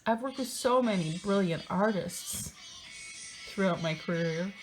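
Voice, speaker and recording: A woman's voice: mid-range voice, expressive, a little slow, music in the background, rough recording. A woman reading a book aloud in a theatrical, mocking way, breaking into casual, sardonic asides of her own.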